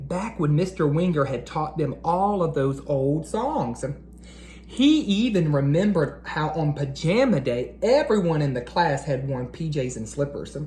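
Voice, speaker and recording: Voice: mid-range voice, darkish tone. A read-aloud host narrating storybooks and talking to children in an encouraging, teacherly way.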